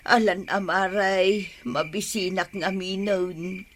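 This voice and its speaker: A woman's voice: medium pitch, steady tone, takes it slowly, slight background noise, lightly acted. A woman with an Ilocano accent playing a needy, pining older village woman in a radio comedy drama, pleading and plaintive.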